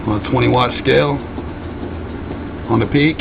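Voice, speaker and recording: A man's voice: medium pitch, fairly even intonation, normal speed, gravelly. A man giving a casual, folksy product demonstration of radio gear, using trucker slang. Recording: muffled, loud noisy radio hiss.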